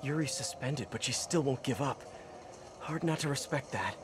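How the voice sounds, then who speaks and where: A man's voice: mid-range voice, normal speed, background noise, lightly acted. An actor playing an earnest young male superhero who often talks to himself with concern in a video game cutscene. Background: game score music.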